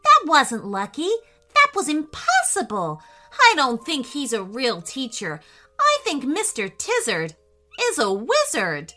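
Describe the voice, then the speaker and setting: A woman plays a young schoolboy in a bedtime story, high and bright. He is suspicious and uneasy, wondering aloud with insistent emphasis. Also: wildly swooping pitch, measured pace, soft background music, exaggerated voice.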